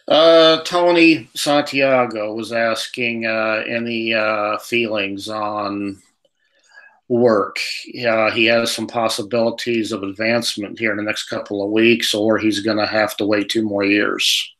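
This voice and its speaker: A man's voice: on the low side, level tone, unhurried, fairly bright tone, low-quality recording. A man co-hosting a psychic call-in show, reading out listeners' questions with many hesitant fillers. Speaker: radio show co-host relaying caller questions.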